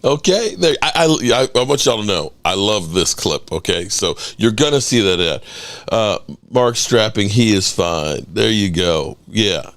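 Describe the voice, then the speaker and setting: A middle-aged man hosting a sports call-in show, animated and joking, swearing freely. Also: lowish voice, unhurried, no echo. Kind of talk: casual call-in show talk.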